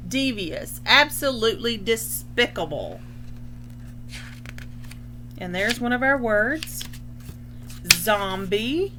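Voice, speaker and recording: Lady school librarian doing a kids read-aloud, bright highish voice, explains stuff, bit of hum in the background. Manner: explanatory, pausing to define words. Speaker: school librarian reading a picture book aloud. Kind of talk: instructional read-aloud for young children.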